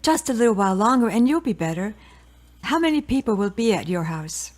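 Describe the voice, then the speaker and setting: A woman's voice: medium pitch, very animated, brisk, close to an everyday voice. Solicitous, meddling mother, played by a woman. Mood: tender. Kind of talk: radio drama acting.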